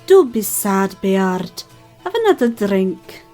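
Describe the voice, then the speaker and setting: A woman's voice: mid-range voice, big pitch swings, takes it slowly, darkish tone, faint music behind. A woman playing a friendly, folksy tavern server who likes to tease, in an amateur audio drama. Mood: teasing, friendly. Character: friendly teasing tavern woman serving drinks.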